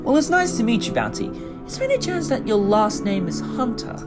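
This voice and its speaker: A man's voice: extremely high pitch, sing-song, fairly quick. A man playing an eccentric, chatty time-travelling adventurer in an audio drama, theatrical and rambling, apologetic yet bold. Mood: polite, wry. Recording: voice under loud music.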